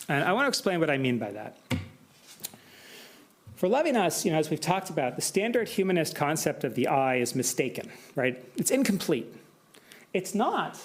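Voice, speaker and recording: An American man delivering an academic symposium lecture, measured and explanatory, with frequent asides. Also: on the high side, vocal fry. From United States. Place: lecture hall.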